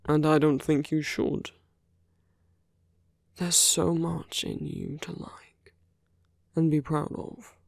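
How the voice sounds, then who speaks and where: A man playing a teasing yet tender villain in an intimate ASMR roleplay, speaking in hushed, unhurried phrases with creaky dips toward a whisper. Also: a little high, little pitch movement, no room sound, studio quality, heavily acted. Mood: tender, earnest. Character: smooth teasing male villain, tender toward his enemy.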